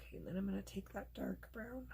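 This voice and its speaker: A woman host casually narrating a coloring tutorial, chatty and relaxed, thinking aloud as she works, and losing her voice. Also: medium pitch, quick pace, gravelly, heavy background noise.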